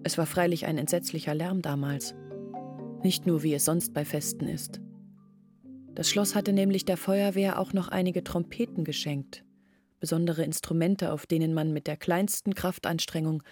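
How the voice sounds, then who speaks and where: A village woman, played by an actress, tells of her family's downfall in a radio play. Her voice sits on the low side, and music plays underneath. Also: steady tone, normal speed, dark tone, natural voice. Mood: wistful recollection. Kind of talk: radio play monologue, storytelling.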